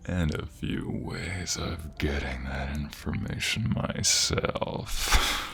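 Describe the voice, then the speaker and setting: A man playing an affectionate, playful husband in an intimate ASMR roleplay, speaking tenderly and teasingly with breathy, whispery softness. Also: very deep voice, soft background music, heavily acted. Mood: flirty, teasing.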